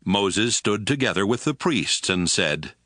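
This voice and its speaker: Low bright male scripture narrator. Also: lively intonation, unhurried, no echo, studio quality.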